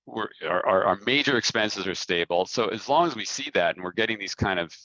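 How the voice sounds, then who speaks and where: A man talking informally on a business conference call, halting as he repeats and rephrases his words. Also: on the low side, expressive, bright, forceful tone, no echo, lo-fi sound. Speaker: company head answering investor questions.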